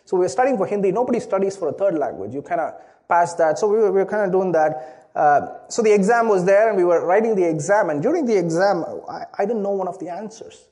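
A man's voice: sits high, lively intonation, fast talker, dark tone. A man from Chennai with an Indian accent, a native Tamil speaker, preaching a sermon with a personal story and restarting phrases often. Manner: earnest, storytelling, repeats words at the start of phrases. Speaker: pastor.

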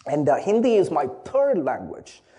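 Indian pastor preaching with a high voice. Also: male voice, huge pitch swings, moderate pace, mellow. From India (Chennai). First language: Tamil. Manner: earnest, storytelling, repeats words at the start of phrases. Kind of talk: sermon with personal story.

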